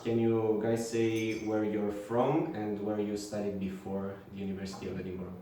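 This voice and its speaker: A man's voice: lowish voice, steady tone, dark tone. Young man host in an echoey room. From Romania. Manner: friendly, halting, many fillers and okays. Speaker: podcast host. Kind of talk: casual chat. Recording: echoey room, far from mic.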